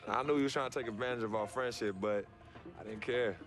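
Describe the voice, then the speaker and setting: A man's voice: medium pitch, fast, faint music behind, close to an everyday voice. An actor playing a laid-back, sincere young American man, a loyal brother, talking in earnest slang in game dialogue. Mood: wistful. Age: young adult. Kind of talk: naturalistic game cutscene dialogue.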